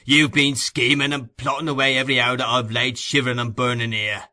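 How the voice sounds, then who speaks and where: A man voicing a brutal, surly burglar who talks in a loud growl. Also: medium pitch, animated, normal speed. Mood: bitter. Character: brutal, surly male burglar, sick and bitter.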